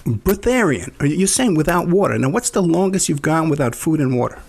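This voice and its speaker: A man's voice: on the high side, sing-song, fairly quick, gravelly, darkish tone. A man hosting an interview podcast, friendly and casual, often stammering and repeating words. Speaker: podcast host.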